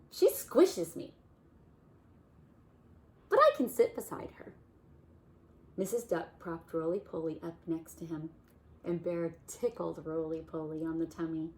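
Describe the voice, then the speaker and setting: Gentle slow-reading woman storyteller. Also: deep voice, slight background noise. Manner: gentle, asks the child questions about the pictures. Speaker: children's story reader. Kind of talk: storytime read-aloud for kids.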